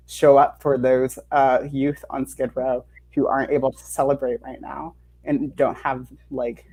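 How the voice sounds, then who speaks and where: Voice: mid-range voice, creaky, darkish tone, breathy edge, rough recording. A panelist reflecting aloud in a panel chat, posing questions and often using "like" as a filler.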